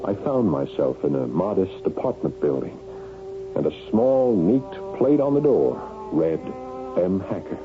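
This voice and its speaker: An actor playing an anxious, desperate husband in a radio drama, with a dramatic, sometimes stammering delivery. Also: male voice, deep voice, sing-song, measured pace, music in the background. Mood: grim, determined. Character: desperate, anxious husband recounting his own murder mystery. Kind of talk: 1970s radio drama acting with first-person narration. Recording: phone-like, band-limited old radio recording.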